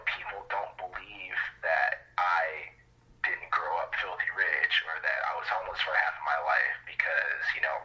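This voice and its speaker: A man's voice: deep voice, bright, forceful tone. A man giving reflective, conversational interview answers as a podcast guest, often hesitant and searching for words. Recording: thin, muffled phone or radio-like line.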